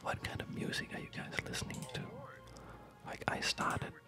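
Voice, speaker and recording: A man whispers breathily and haltingly in an unhurried ASMR chat while playing a game, close to a studio microphone at home. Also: music in the background. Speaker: ASMR gamer. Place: quiet home at night. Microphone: close studio condenser microphone.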